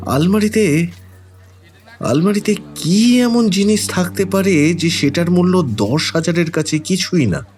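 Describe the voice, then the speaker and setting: A shrewd, composed detective, acted by a man in an audio drama. He muses wryly and deliberately with very lively intonation, with music underneath. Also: fairly high pitch. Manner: musing, deliberate, wry. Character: shrewd, calm, wry male detective.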